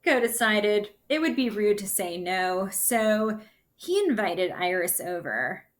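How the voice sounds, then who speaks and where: A woman giving an expressive children's picture book read-aloud in a deliberate, explanatory manner, as if to young listeners. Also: mid-range voice. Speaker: story reader.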